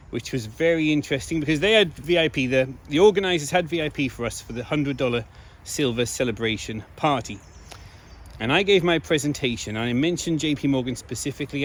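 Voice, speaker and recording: Male vlogger, slightly hoarse voice, emphatic and informal, mid-pitched, recorded outdoors in town. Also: slight background noise. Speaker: video blogger. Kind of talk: casual monologue to viewers.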